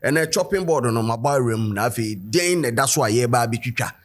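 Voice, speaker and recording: A man's voice: medium pitch, expressive, moderate pace. A man with a Ghanaian accent hosting a casual editorial show, talking loosely with many fillers and switching between English and Twi. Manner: chatty, loose, full of fillers and code-switching. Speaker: host and commentator.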